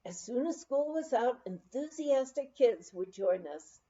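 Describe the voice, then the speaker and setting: A woman's voice: fairly high pitch, expressive, a little slow, warm tone. A woman reading a memoir aloud as an audiobook narrator, on a slightly dull recording. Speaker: audiobook reader.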